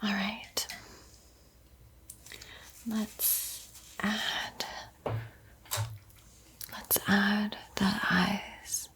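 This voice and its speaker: A woman whispers painting instructions in a soothing, encouraging ASMR style, with a breathy, airy voice. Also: medium pitch, little pitch movement, drawn-out words, pauses often, fairly bright tone. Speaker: art tutorial host.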